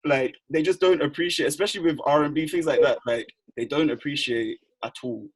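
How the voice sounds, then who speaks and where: Young host, quick and slangy, husky edge. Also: male voice, fairly high pitch, poor audio. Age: young adult. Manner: fast, animated, retells friends' quips with slang. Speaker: podcast host. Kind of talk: casual chat.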